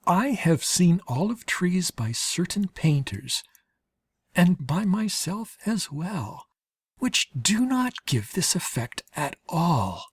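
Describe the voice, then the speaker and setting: A woman with a husky, breathy voice narrates literary letters as an audiobook reader, in a measured, expressive way with deliberate pauses. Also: on the low side, dry recording.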